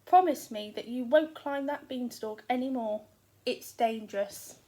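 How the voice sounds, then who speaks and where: A poor, protective storybook mother, voiced by a woman reader earnestly warns her son. The voice is high and the delivery slow and firm. It stays close to the reader's own sound. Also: mellow, close to an everyday voice. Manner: earnest, warning.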